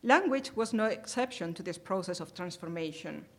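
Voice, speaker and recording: A woman with a Spanish accent giving an academic conference talk in a careful, formal way, often pausing on hesitations. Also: fairly low pitch, expressive, fairly bright tone. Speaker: conference presenter.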